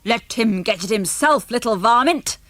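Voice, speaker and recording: A woman voicing a grumpy, shouting old great-grandfather with a cantankerous manner. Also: fairly high pitch, lively intonation, moderate pace, no room sound. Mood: irate.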